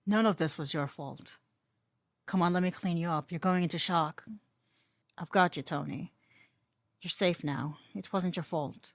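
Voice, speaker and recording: A woman voicing a calm, gentle male scientist, giving hushed and soothing reassurance in an audio story. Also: on the low side, unhurried, long pauses, no echo, clean studio sound.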